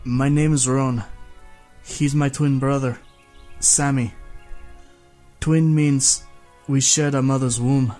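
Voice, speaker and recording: A man's voice: medium pitch, steady tone, takes it slowly, pauses often, a little mellow, soft background music, voice pushed up, natural voice. A man voicing a serious young man who speaks formally and matter-of-factly, in a storybook reading. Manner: stiff, formal, matter-of-fact. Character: serious young man guarding his dead twin.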